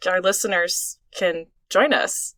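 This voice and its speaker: A woman's voice: lowish voice, takes it slowly, a bit bright, dry recording, clean studio sound. A young woman co-hosting a podcast in casual chat, laughing often, recorded in a small booth. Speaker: podcast co-host.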